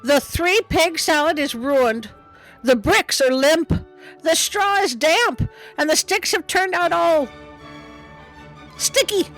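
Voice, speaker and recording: A woman's voice: extremely high pitch, slow, pauses often, fairly bright tone, soft background music, voice pushed up, exaggerated voice. A woman voicing a fussing, dismayed mother wolf in a storybook, lamenting dramatically.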